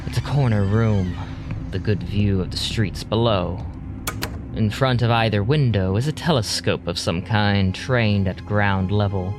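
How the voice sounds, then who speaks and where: A man's voice: on the low side, a little slow. A man narrating an audio drama in a scripted, dramatic storytelling style. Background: music.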